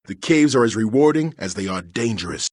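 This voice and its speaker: A laid-back fantasy adventurer for a game. His voice sits fairly low with a rough husk. The delivery is casual and wry, recorded dry and close. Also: lively intonation, fairly quick, darkish tone, no echo. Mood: wry, friendly. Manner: casual, joking. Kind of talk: video game voice acting.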